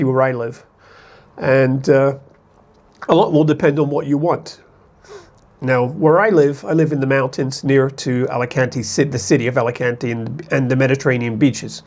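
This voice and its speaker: A man's voice: mid-range voice, darkish tone. A man from the United States talking casually to camera as an expat vlogger, in a rambling, conversational way that often corrects itself.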